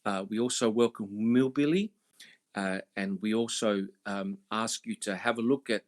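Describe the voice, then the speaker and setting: A man hosting a casual panel podcast, speaking haltingly with many fillers. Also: on the low side, steady tone. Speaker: podcast host.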